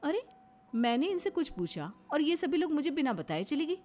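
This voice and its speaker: A woman playing a nosy, naive young daughter-in-law in an animated family comedy, insistent and pleased with herself. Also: high voice, moderate pace. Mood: nosy, indignant. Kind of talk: animated Hindi family comedy story acting.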